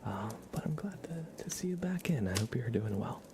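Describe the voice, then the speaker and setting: A man hosting an ASMR livestream, chatting informally in a soft-spoken to whispered voice, rambling along. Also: mid-range voice, expressive, normal speed, very noisy.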